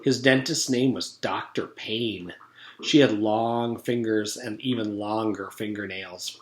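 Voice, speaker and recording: A man's voice: fairly low pitch, takes it slowly. A man in his forties, a teacher, reading a children's story aloud with casual recaps. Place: room at home. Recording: roomy echo, background noise.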